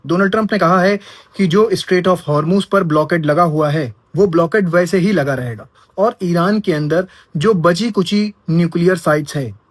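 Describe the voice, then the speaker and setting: A man's voice: a little high, no room sound. A man giving casual news commentary, talking straight to his viewers as friends and asking rhetorical questions.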